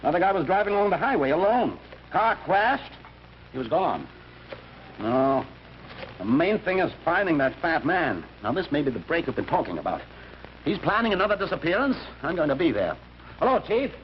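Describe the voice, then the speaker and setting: A man's voice: a little high, very animated, normal speed. A man playing a tough, determined detective who talks tersely in an old-time radio drama. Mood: grim, determined. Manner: terse, hard-boiled. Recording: noisy, muffled vintage radio recording.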